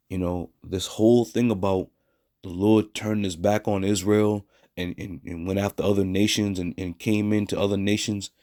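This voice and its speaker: A hoarse man teaching scripture in a blunt, scornful way, with a frequent "all right?". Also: deep voice, darkish tone, no room sound, studio quality. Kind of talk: street preaching scripture lesson.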